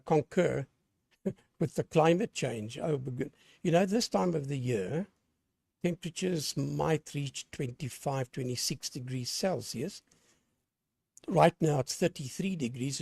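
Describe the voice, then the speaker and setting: A hoarse man running an amateur radio net, talking in a lively on-air way and spelling callsigns with the phonetic alphabet. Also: mid-range voice, dry recording, studio quality. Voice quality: gravelly.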